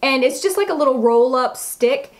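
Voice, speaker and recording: A woman's voice: high-pitched, animated, normal speed. A woman chatting casually as a beauty vlogger, gushing and emphatic, with a slightly froggy voice. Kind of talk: casual chatty product review to camera.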